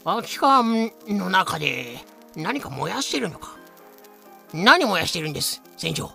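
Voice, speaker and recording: A man playing a rough, lively old-hand pirate telling tales with slangy, dramatic delivery in a fan-made voice drama. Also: high-pitched, measured pace, faint music behind, exaggerated voice. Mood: casual, curious. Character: rough lame ex-pirate storyteller, boisterous, lively.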